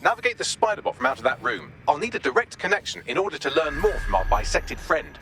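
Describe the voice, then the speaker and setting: A man's voice: on the high side, big pitch swings, fast, background noise. A British man playing a witty, sardonic artificial intelligence assistant in a video game, articulate and breezy with dry sarcasm. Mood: brisk, informative.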